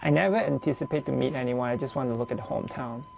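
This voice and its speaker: A man with a Malaysian accent, speaking English as a second language, talks personally and without a script, haltingly searching for words. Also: medium pitch, gravelly, darkish tone, faint music behind. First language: not English. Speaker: visual artist interviewed for a TV profile. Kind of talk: unscripted personal storytelling and explanation of own work.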